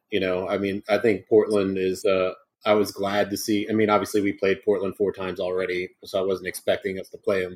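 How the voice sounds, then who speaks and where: Male co-host, low flat husky voice, rambling casual sports talk. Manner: rambling, hedging with many fillers. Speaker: podcast co-host.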